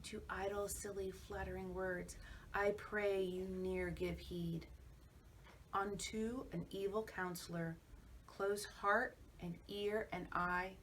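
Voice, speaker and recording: A woman's voice: medium pitch, flat delivery, slow, fairly bright tone. A woman reading a cautionary storybook verse aloud. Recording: faint, distant pickup with background noise.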